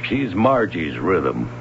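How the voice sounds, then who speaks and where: A man's voice: low-pitched, creaky, heavily acted. A man playing an affable, easygoing swimming coach in a 1970s radio drama. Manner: easygoing, chuckling, unhurried. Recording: muffled, noisy old radio broadcast.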